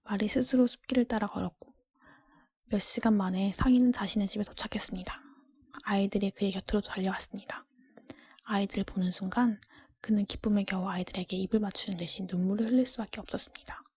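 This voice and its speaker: A woman whispers a fairy tale in an ASMR style. Her voice is soft and dark-toned, almost entirely breath. She narrates gently, keeping everything hushed. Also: mid-range voice, fairly even intonation, fast talker, breathy edge. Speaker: storybook reader.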